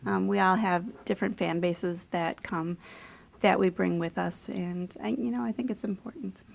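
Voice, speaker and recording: An American woman in middle age speaks at an average pitch with a noticeably creaky, gravelly edge. She talks conversationally, like an author and editor giving informal interview answers. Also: steady tone, moderate pace.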